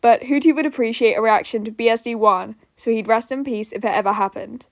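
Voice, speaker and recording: A woman's voice: fairly high pitch, brisk, dry recording, studio quality. A woman reading a scripted comic fan story aloud as its narrator. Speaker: podfic narrator.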